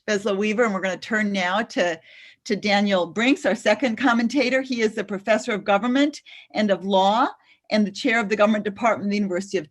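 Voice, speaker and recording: A woman moderates a panel discussion. She keeps things moving with polite, brisk transitions between speakers. Her voice has an ordinary, mid pitch.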